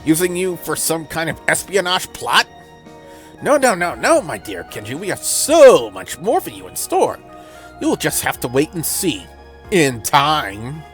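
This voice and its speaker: A man's voice: fairly high pitch, wildly swooping pitch, a little slow, soft background music. A man voicing a sly villainous woman in a game, teasing and menacing with condescending endearments. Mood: condescending, gloating.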